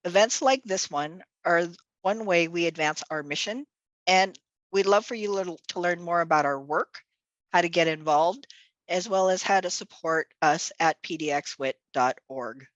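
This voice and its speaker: A woman with a slightly low voice hosts an online meeting, upbeat and chatty, filling pauses with "um" and "uh." Her first language is Tagalog. The sound is dry and close, as on a video call. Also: no room sound, dull audio. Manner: upbeat, chatty, many fillers. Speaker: executive director and event host. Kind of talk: virtual event welcome and announcements. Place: home or office on a video call.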